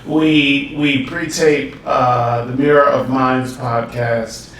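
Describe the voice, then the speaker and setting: A man hosting a podcast in casual chat, talking in a laid-back, playful, drawn-out way from a home studio room. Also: mid-range voice, fairly even intonation, a bit bright. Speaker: podcast host. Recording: echoey room from far off with faint hiss.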